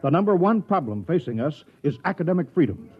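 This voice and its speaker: Husky, blustery American trustee. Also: male voice, fairly high pitch, sing-song, fast talker. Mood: blustering, stern. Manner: pompous, blustering, emphatic. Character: blustery, pompous male trustee, bluntly bossy businessman. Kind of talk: 1950s radio comedy drama acting. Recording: muffled old radio recording.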